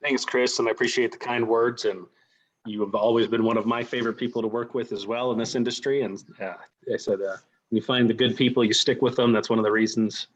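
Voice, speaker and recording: A man in his forties from the United States, a guest on a call, telling his own story casually in a halting, self-deprecating way. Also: medium pitch, steady tone, dull audio. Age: 40s. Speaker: company president, guest speaker.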